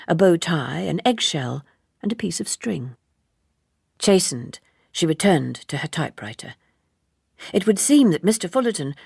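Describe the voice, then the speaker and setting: Low, husky woman narrating a novel. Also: no echo, clean studio sound. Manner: measured, expressive, with deliberate phrasing. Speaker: audiobook narrator. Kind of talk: literary audiobook narration.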